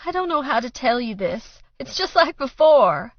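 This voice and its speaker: Brief: woman playing a fragile, weepy mother, high strained pleading, audiobook. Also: big pitch swings, moderate pace, no room sound, highs cut off. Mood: accusing, weepy. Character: tearful, overdramatic middle-aged mother.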